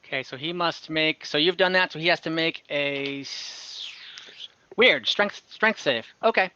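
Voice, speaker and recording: A man's voice: a little high, unhurried, pushed and bright, smooth, no room sound, lo-fi sound. A man acting as dungeon master in an online tabletop game, talking casually and counting out moves aloud.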